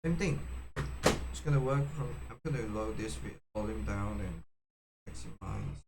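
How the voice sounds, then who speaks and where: A man giving a casual, unscripted how-to demonstration, speaking haltingly with frequent fillers and self-corrections. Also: fairly low pitch, wildly swooping pitch, creaky, heavy background noise. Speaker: tutorial presenter.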